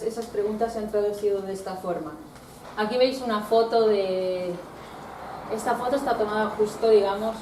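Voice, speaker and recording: A woman from Italy gives a talk, presenting slides and reflecting as she goes. She hesitates often, filling gaps while she searches for words. Her voice comes from a distance, in a reverberant room with steady background noise. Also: mid-range voice. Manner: thoughtful, searching for words with many fillers. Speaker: researcher giving a talk.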